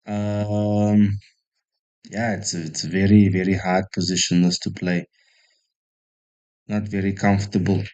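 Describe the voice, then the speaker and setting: A man from South Africa talks through his chess moves at a slow, unhurried pace, his voice sitting fairly low. He thinks aloud, makes fun of his own play and stops to greet people in the chat. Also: flat delivery, darkish tone, muffled recording. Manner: thinks aloud slowly, chatty with viewers, self-deprecating. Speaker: chess streamer. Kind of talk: casual live-stream commentary.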